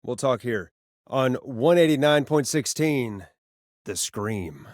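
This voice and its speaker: An actor voicing a wry, harried late-night radio DJ in a video game, with a dry, sardonic and expressive delivery. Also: male voice, medium pitch, takes it slowly, dry recording. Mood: smooth on-air patter. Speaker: radio show host. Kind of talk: video game voice acting, radio patter and reactions.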